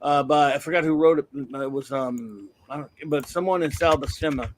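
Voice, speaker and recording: A man's voice: on the high side, moderate pace, a little hiss or hum. A man hosting a podcast, chatting casually about comics in a blunt, opinionated way with many restarts. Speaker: podcast host.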